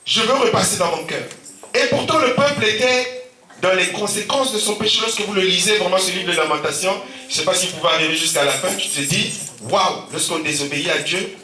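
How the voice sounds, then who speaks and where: A man's voice: high-pitched, fairly quick, a crowd in the background. A man preaching a sermon as pastor, with a sore throat and a cold, calling out amen and alleluia often, with a Central African French accent. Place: church hall. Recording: echoey church from a distance.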